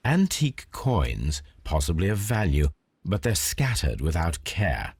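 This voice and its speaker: A game detective, deep voice w lots of swing, measured n brainy, thinks out loud. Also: male voice, wildly swooping pitch, breathy edge, exaggerated voice. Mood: analytical, composed. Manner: measured, deductive, precise. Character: analytical male detective, composed and deliberate. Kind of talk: video game cutscene acting, musing aloud.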